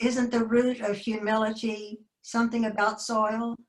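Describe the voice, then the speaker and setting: A woman's voice: on the high side, flat delivery, moderate pace, low-quality recording. A woman in an audience chatting casually with short questions and interjections. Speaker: audience member. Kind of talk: casual chat, brief interjections.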